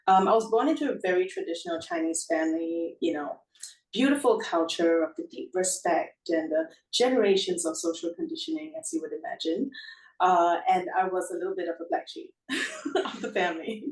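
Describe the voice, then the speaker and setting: This is a woman from Singapore who founded a brand and is telling her story as an interview guest. Her voice sits a touch low, and she talks easily, often laughing and dropping in "you know". She sounds a little distant from the mic, in a room with a noticeable echo. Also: big pitch swings, fairly quick, creaky, a little mellow. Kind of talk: casual interview answers.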